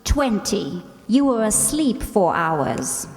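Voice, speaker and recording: A woman playing a spirited, sparring romantic lead in an old-time radio drama, teasing and quick to argue. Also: mid-range voice, sing-song, faint music behind. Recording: echoey hall stage performance.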